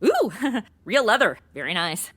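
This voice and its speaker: A woman's voice: mid-range voice, big pitch swings, measured pace, fairly bright tone, husky, exaggerated voice. An actress playing a flippant, ditzy modern young woman, sassy and unfazed, in a comedic audio drama. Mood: breezy. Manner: sassy, exaggerated modern slang delivery.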